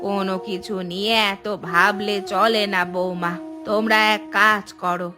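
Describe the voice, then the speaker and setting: Woman doing a gentle old village granny in a cartoon, slow and soothing, music in the background. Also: medium pitch, fairly even intonation, natural voice. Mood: reassuring. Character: gentle old village grandmother, patient and caring.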